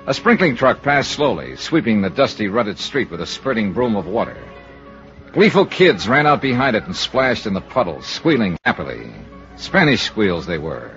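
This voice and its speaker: A man's voice: lowish voice, very animated, normal speed, a bit bright. A man acting a tough, cynical American insurance investigator in 1950s radio style, clipped and sardonic. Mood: dry narrating. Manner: clipped, sardonic, hard-boiled. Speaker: lead actor and narrator. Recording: muffled old radio recording with music.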